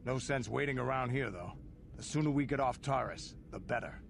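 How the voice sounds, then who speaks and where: An actor playing a tough, pragmatic male mercenary in a video game, with a gruff, blunt manner. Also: medium pitch, brisk, background noise, close to an everyday voice. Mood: businesslike. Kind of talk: dramatic video game acting.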